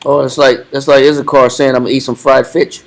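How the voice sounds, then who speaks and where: A man with a mid-range voice joins a group Bible discussion. He speaks haltingly and keeps restarting his sentences. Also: mid-range voice, level tone, gravelly. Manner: halting, hesitant, restarts often. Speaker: Bible study participant.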